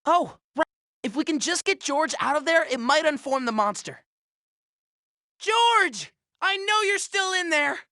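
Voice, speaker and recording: A high, youthful cartoon boy hero, played by an actor. He is earnest, kind and encouraging, heard close and dry. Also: animated, unhurried, pushed and bright, dry recording, full character voice. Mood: pleading, hopeful. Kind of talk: animated game voice acting.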